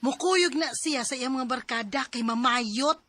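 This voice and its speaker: A woman's voice: high voice, unhurried, sharp tone, full character voice. An actress with a Cebuano accent playing a gossipy, hesitant young female friend in a radio drama. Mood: confiding.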